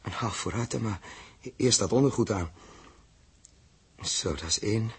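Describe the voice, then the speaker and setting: Uneasy space traveller, raspy and unhurried, halting self-repeating speech, vintage radio play. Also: male voice, on the low side, exaggerated voice. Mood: brooding, hesitant. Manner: halting, muttering, repeats himself. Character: troubled, hesitant grown man Joost, uneasy space traveller. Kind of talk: 1960s radio drama acting. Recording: muffled-voiced old 1960s radio recording.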